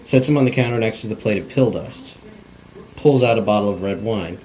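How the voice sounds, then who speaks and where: A man's voice: lowish voice, a little fast, a little mellow, poor audio. A man reading stage directions in an amateur cold table read, plainly and with stumbles over words. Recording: faint background noise.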